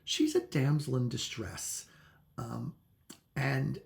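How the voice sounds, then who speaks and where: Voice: mid-range voice, steady tone, slow pace, creaky. A book reviewer talking casually and thinking aloud, chatty and opinionated, with hesitant phrasing.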